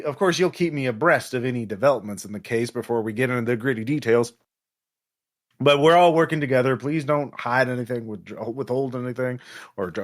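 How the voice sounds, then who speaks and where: A folksy police major acted out by a man at a game table speaks in an exaggerated cowboy drawl. He is officious and wary, halting as he goes, with contempt under his politeness. The pitch sits in an ordinary male middle range. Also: lightly acted. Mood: guarded, officious. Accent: exaggerated American cowboy drawl.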